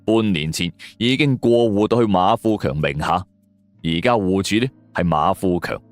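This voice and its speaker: Male audiobook narrator, fairly deep register with expressive melody, telling crime suspense in everyday colloquial style. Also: moderate pace, dry recording, soft background music. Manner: colloquial storyteller delivery. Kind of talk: dramatic suspense story narration in first person.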